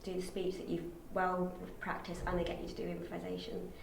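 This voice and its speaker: A woman's voice: on the low side, monotone, a little fast, distant mic. Very echoey room, woman, casual talk, self-correcting, hesitant, faint noise. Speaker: auditioning actor. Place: indoor university room.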